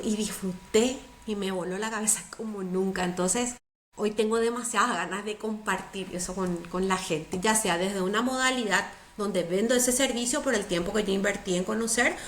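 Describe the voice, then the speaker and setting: A woman's voice: medium pitch, echoey room, a little hiss or hum. A middle-aged woman, speaking as a guest in a conversational interview, earnest and emphatic, repeating words for stress. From Paraguay. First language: Spanish.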